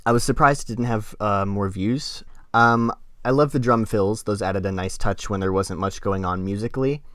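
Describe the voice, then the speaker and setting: Guy with a lowish voice doing a casual podcast review, really dry sound. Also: normal speed, a bit bright, no echo. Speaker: podcast co-host reviewing music. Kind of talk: casual chat, music review.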